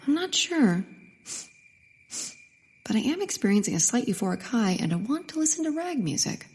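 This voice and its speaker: A woman's voice: a little high, very animated, normal speed, a little mellow, husky, heavily acted. An actress playing an earnest, cautious, literal-minded Victorian woman scientist in a comedic period audio drama, delivering it dryly. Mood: dazed, wistful.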